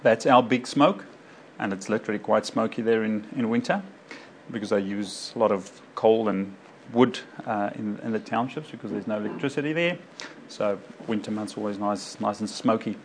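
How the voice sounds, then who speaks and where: A man's voice: fairly low pitch, moderate pace, a little hiss or hum. A man from Africa, a doctor giving an informal personal talk to a local audience. He rambles, with many fillers and self-corrections. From South Africa. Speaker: doctor speaking to a local community group.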